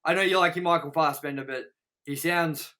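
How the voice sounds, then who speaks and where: A man's voice: a little high, fairly quick, pushed and bright. A man hosting a casual, sweary movie review show, talking in a sarcastic, exasperated way.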